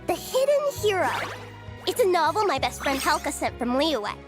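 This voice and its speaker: A woman voicing an excitable, imaginative little girl in a video game, with a theatrical, playful manner. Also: very high-pitched, normal speed, music in the background. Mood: excited.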